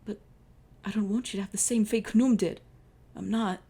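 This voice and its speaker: A woman's voice: medium pitch, steady tone, moderate pace, dark tone, breathy edge, pitched above the performer's own voice. A woman voicing a grieving young male pharaoh, tender and desperate, read out melodramatically. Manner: melodramatic reading of dialogue.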